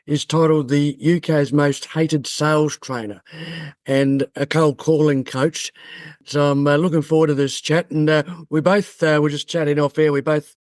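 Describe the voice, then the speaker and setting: A man hosts a podcast interview in a friendly way, stopping often on fillers. His voice is fairly high for a man. The sound is dry and close. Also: darkish tone, no echo. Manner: friendly, halting with many fillers. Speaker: podcast host. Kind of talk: casual interview chat.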